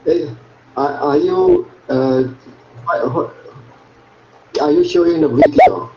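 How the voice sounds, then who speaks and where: A man's voice: fairly high pitch, huge pitch swings, slow, vocal fry, a little hiss or hum. A man from Taiwan with a Chinese accent, a native Mandarin speaker and physician, talking hesitantly on a casual online call. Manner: hesitant, repeats words. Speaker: caller, physician. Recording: echoey, dull lo-fi line.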